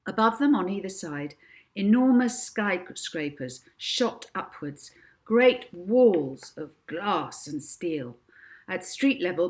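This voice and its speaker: A woman reads a children's novel aloud. Her delivery is very slow and measured, in a fairly low register. She is expressive and places clear emphasis as she tells the story. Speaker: author reading own book. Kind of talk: expressive children's audiobook narration.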